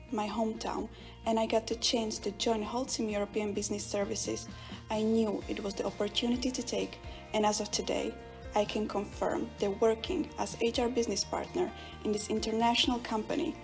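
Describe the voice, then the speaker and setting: A woman's voice: on the high side, fairly even intonation, moderate pace, gravelly, soft background music. A woman giving a sincere, reflective employee testimonial in a promo video. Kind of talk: scripted testimonial.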